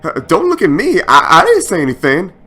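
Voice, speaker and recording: A man playing a brash, cocky but easily rattled young space superhero in an audio drama, speaking urgently and loudly. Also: high-pitched, huge pitch swings, husky, pitched above the performer's own voice, heavily acted. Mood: nervous bluster. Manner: urgent, loud, quick, exclaiming. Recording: background music under the voice.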